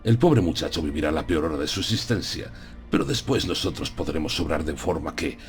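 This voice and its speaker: An actor playing a solemn, learned old professor who hunts vampires, explaining things deliberately in a gothic audiobook drama. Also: male voice, rumbling low, expressive, soft background music. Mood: sorrowful. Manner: solemn, didactic, deliberate phrasing.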